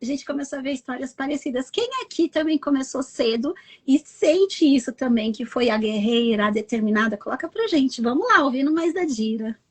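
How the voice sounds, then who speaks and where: Husky high-voiced woman hosting. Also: a little fast, hardly any pauses. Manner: lively, addresses the audience directly and invites participation. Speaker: host of a live stream. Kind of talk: conversational live chat.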